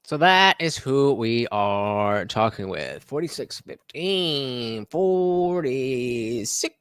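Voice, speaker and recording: A man's voice: mid-range voice, expressive, slow, a bit bright, clean tone, dry recording, clean studio sound. A man hosting a live stream, chatting casually and rambling with many hesitations while he reacts to a clip.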